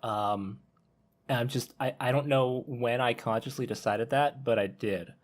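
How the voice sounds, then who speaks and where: A man in a casual podcast discussion, laid-back and often halting to correct himself. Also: medium pitch. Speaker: podcast panelist.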